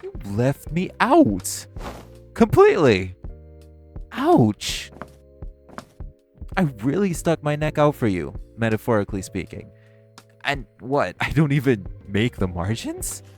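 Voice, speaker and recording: A man playing a smug, hungry, flirtatious vampire in an audio roleplay, speaking with teasing theatrical flair in a gravelly voice. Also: medium pitch, wildly swooping pitch, takes it slowly, long pauses, a little mellow, soft background music. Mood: mock offended. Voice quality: gravelly, creaky voice. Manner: teasing, theatrical, swearing, sighing. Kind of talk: audio roleplay monologue to the listener.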